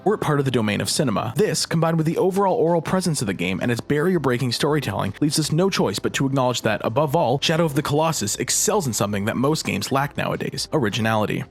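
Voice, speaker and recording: A male narrator delivering a scripted review. He talks fast in a medium pitch with lively movement, and the voice is a little husky. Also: soft background music. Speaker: video essay narrator.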